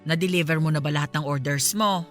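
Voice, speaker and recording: A woman's voice: low voice, fast talker, faint music behind, lightly acted. A woman playing a suspicious middle-aged mother chatting conversationally in a radio drama, with a Filipino accent. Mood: casual.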